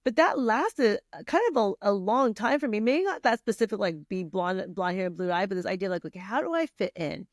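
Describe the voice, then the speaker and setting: A woman's voice: medium pitch, animated, brisk, hardly any pauses, no echo, clean studio sound. A middle-aged woman from Vietnam, guest on a podcast, talking casually with many fillers, restarts and laughs. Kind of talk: casual conversational interview.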